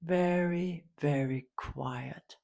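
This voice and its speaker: A woman leading a guided meditation, giving soft instructions in a calm, soothing, unhurried way with long pauses. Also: sits low, gravelly.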